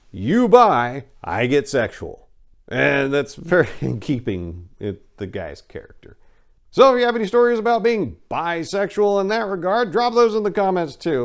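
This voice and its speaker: A man reading a first-person story aloud like a host, in a blunt, informal and wry storytelling manner. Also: medium pitch. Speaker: host and reader of a dramatic book reading. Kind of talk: dramatic first-person memoir reading with casual host commentary.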